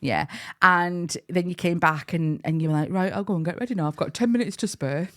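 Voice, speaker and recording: Scottish woman in her twenties or thirties, lower pitch, casual and giggly, co-host banter. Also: brisk, fairly bright tone. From Scotland. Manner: giggly, chatty, fond teasing. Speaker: podcast co-host. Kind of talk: casual couple's podcast chat.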